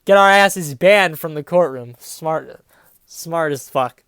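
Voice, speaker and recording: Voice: mid-range voice, slow pace, fairly bright tone, clear, resonant tone, no room sound. Sarcastic reader with a dry, close sound. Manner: sarcastic, profane asides. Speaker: reviewer reading fan fiction aloud. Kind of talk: dramatic read-aloud with mocking casual commentary.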